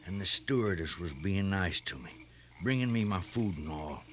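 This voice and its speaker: A man's voice: sits low, lively intonation, moderate pace. A man acting a naive, eager convict sidekick in a 1950s radio drama, dreamy and deferential. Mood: wistful. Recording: dull, slightly noisy 1950s radio recording.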